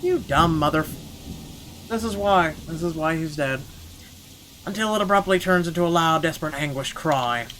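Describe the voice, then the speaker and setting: A man's voice: fairly high pitch, moderate pace, a little hiss or hum, rough recording. A man reading a first-person story aloud dramatically as a gaming host, breaking off for blunt, sweary reactions. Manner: expressive, sweary commentary between read passages.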